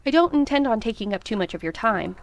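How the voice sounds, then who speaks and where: Actress as a genteel widow with slight creak. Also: female voice, on the high side, animated, quick pace, no echo. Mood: gracious. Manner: formal, gracious, folksy turns of phrase. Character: poised, genteel wealthy landowning widow. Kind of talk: audio drama acting.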